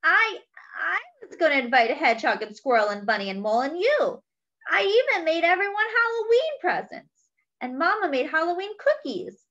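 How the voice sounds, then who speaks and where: A small, tearful girl pig from a storybook, close to sobbing, as a woman puts her on. The voice rides high and bright, rising and falling through the sadness. The recording sounds a little dull. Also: very animated, moderate pace, full character voice.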